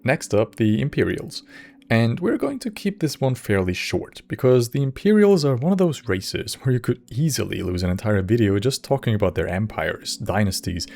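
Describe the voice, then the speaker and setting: A man giving scripted narration for a game lore video in a measured, slightly wry way. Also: medium pitch, very animated, gravelly, darkish tone.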